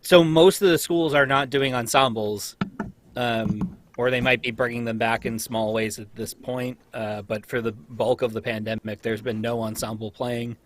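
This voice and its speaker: A man's voice: mid-range voice, steady tone, moderate pace, a little hiss or hum. An American man who teaches college, chatting casually in a group conversation.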